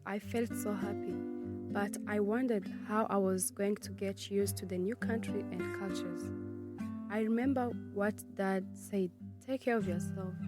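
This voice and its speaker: A nineteen-year-old woman from Congo who grew up in Kenya, reading her personal narrative in a measured, earnest way. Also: medium pitch. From Congo, raised in Kenya. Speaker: student narrator.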